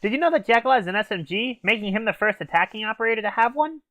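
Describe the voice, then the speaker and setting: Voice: medium pitch, animated, fast, bright, forceful tone, no echo. Reviewer doing scripted anime banter, close dry sound.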